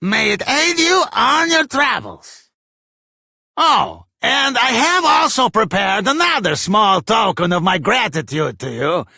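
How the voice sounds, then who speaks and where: An actor playing a pompous, eccentric monarch in a video game, with florid, formal phrasing. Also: male voice, sits high, big pitch swings, slow pace, vocal fry, bright, forceful tone, no room sound, studio quality, heavily acted. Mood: grand gratitude. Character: grateful pompous eccentric monarch, grandly theatrical. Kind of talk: theatrical video game acting.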